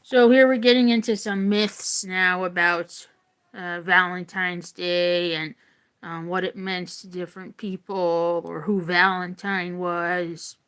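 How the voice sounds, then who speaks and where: A woman teacher reading a story aloud expressively and deliberately, with casual explanatory asides. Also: lowish voice, steady tone, fairly bright tone, smooth.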